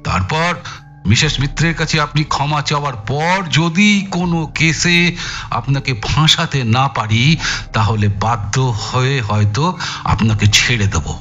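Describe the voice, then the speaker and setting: An actor playing a brusque, menacing, sarcastic police inspector in an audio drama, needling with emphatic, taunting politeness. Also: male voice, medium pitch, expressive, a little slow, fairly bright tone, soft background music. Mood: stern, threatening.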